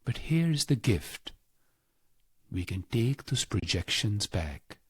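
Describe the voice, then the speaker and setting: A man with a husky, breathy voice guides a scripted meditation in short, deliberate phrases, solemn and devotional. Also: fairly low pitch, sing-song, no echo, studio quality. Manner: slow, deliberate, pausing between short phrases.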